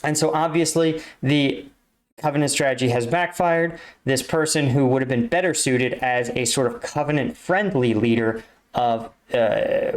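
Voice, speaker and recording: A man giving off-the-cuff explanatory commentary as a reaction video host, analytical and halting as he searches for words. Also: medium pitch. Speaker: reaction video host, army combat veteran.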